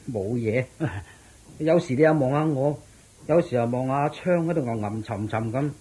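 An actor playing a hot-tempered, probing young master in a radio drama, with a husky voice. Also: male voice, mid-range voice, animated, unhurried, dark tone. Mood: calm, probing.

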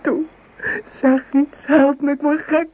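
An actress playing a lovesick, guilt-ridden young maidservant in a radio play, with halting, breathy, pleading delivery. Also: female voice, sits high, level tone, darkish tone, lo-fi sound. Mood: anguished, desperate. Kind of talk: melodramatic Dutch radio play acting. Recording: noisy old radio drama recording.

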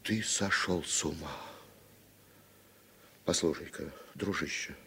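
An actor playing a worldly, pragmatic journalist in his late thirties, husky and persuasive, in Soviet radio drama style. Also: low voice, slow, bright, forceful tone, slight background noise, heavily acted. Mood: urging. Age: 30s.

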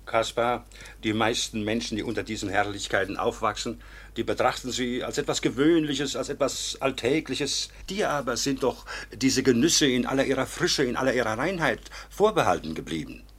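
An actor playing a kindly older court official in a German radio drama, husky, patient and gently admonishing in a measured paternal way. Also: male voice, mid-range voice. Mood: earnest.

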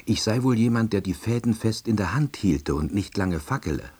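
A self-important, awkward salesman narrates a radio drama in a low, husky voice. His delivery is dry, earnest and full of bureaucratic wordiness. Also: animated, normal speed, mellow. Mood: wry, earnest. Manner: dry, wordy, officialese.

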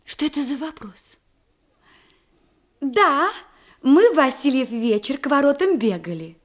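An actress playing a modest, eager young bride in love, in a theatrical verse comedy for radio. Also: female voice, high-pitched, expressive, measured pace. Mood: coy.

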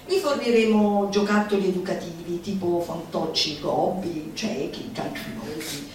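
A woman's voice: on the low side, darkish tone, lightly acted. Woman acting theatrical orator, manic comic monologue, exclamatory, very reverberant, faint noise. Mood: exalted, gleeful. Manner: declamatory, exclamatory, drawn-out emphatic words. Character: manic, theatrical female futurist orator exalting laughter at suffering. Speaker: stage actress. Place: roomy performance space, recorded from a distance. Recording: echoey hall, far mic, faint noise.

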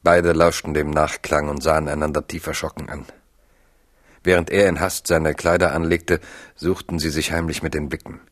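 Super deep guy narrating a literary radio play, solemn and measured, very dry sound. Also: little pitch movement, no echo.

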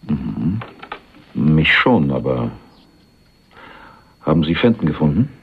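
An actor playing a wry, tough ex-soldier turned crook in a German crime radio play, laconic and sardonic. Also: male voice, very deep voice, sing-song, takes it slowly, creaky, husky, full character voice. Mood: sardonic, bargaining. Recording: narrow, muffled 1980s radio drama recording.

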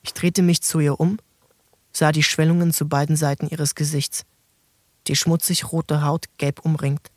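A man narrating a literary audiobook in a calm, measured storytelling voice. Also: a little high, dry recording.

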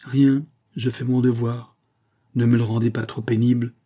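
A man's voice: medium pitch, little pitch movement, moderate pace, dark tone, lightly acted. Man voicing a proud, indignant young woman. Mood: resigned, firm. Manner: firm, dignified, emphatic. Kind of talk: dramatised audiobook reading.

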